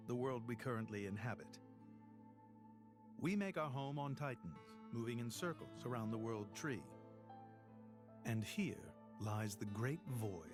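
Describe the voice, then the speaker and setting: Game role: a man plays courteous, solemn aged leader, mid-pitched, stately delivery over prominent music. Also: natural voice. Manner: formal, measured, dignified. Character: solemn old male ruler, courteous and grave. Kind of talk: dramatic game cutscene acting. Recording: orchestral music behind the voice.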